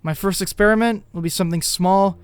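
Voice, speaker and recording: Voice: mid-range voice, fairly quick, lightly acted. An actor playing an anxious, driven teenage boy who narrates his own story intensely in a dramatic comic dub. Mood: brooding, determined.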